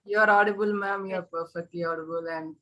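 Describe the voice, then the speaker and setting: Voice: mid-range voice, fairly even intonation, fairly quick, sharp tone. An effusive host, introducing performers at a live poetry event and repeating names and praise.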